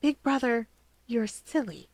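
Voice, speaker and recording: Woman acting bashful young man, high-pitched, very animated, lovestruck little brother, audiobook character. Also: measured pace. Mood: bashful, flustered. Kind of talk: fan fiction audiobook character voice.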